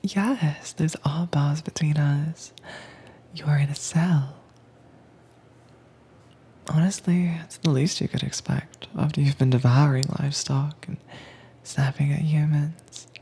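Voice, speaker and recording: A woman performing a whispered roleplay as a calm, commanding agent who keeps a werewolf caged, speaking in a breathy, teasing manner close to the mic. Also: deep voice. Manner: cool, teasing, unhurried. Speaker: audio drama voice actress. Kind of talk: soft-spoken whispered roleplay audio.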